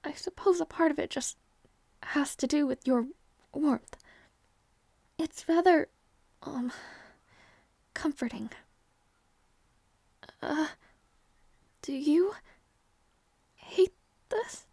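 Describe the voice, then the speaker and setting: A woman voicing a shy, flustered young princess who talks softly and hesitantly, often in a whisper and with stammers. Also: high voice, very slow, vocal fry, long pauses, no room sound, full character voice. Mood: shy, nervous. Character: shy, flustered young princess waking beside her knight. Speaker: audio roleplay performer. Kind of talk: intimate audio roleplay.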